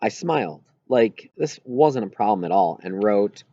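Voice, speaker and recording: Man reading a story aloud, expressive pitch. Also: a little slow, no echo, muffled recording. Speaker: audiobook reader. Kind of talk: first-person narration of a children's novel, read aloud.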